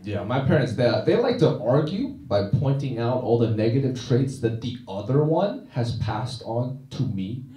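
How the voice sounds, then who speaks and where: A man's voice: mid-range voice, very animated, normal speed, hardly any pauses, mellow, a little hiss or hum. Guy doing stand-up in a club, dry self-mocking style, lots of uh's, sounds far from the mic in a roomy space. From China. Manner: dry, self-deprecating delivery with frequent "uh" restarts. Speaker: stand-up comedian. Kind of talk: stand-up comedy set. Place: comedy club stage.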